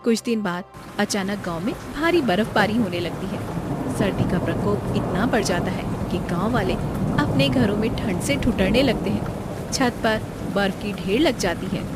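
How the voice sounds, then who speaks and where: A woman's voice: mid-range voice, expressive, moderate pace. A woman reading a scripted moral story for children as its narrator. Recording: music behind the voice. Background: background music.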